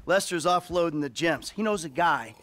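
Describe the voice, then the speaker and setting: An actor voicing a wry, weary middle-aged ex-robber in a video game. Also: male voice, a little high, lively intonation, moderate pace, a bit bright. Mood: relaxed. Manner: laid-back, wry, defensive. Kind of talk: video game cutscene acting.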